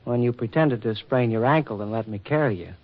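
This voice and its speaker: An actor playing an earnest, stiff government official in a 1940s radio drama, speaking in a formal, courtly, slightly awkward way. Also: male voice, mid-range voice, brisk, dry recording, lightly acted. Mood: shyly tender. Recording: muffled vintage radio recording, faint music.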